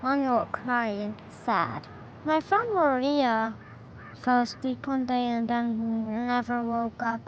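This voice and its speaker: Cheeky six-year-old boy, high voice, earnest and blunt, audio drama, slightly noisy dull recording. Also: takes it slowly. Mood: sad, earnest. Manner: childlike, blunt, earnest questioning.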